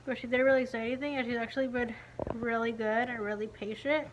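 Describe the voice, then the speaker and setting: A woman's voice: a little high, steady tone, fast talker, slight background noise. A young woman talking casually to a phone camera in her living room, her voice congested and her lips stiff after nose surgery. She rambles chattily, with many fillers. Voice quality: stiff-lipped, slightly muffled speech. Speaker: beauty vlogger. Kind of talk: casual video diary. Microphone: phone camera at arm's length.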